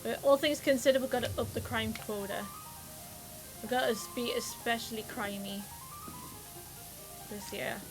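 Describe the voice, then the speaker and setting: A woman's voice: on the high side, steady tone, moderate pace, pauses often, over music, poor audio. A woman with a Geordie accent chatting casually while streaming a game, exclamatory and swearing freely. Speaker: livestreamer. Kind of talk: casual gameplay commentary.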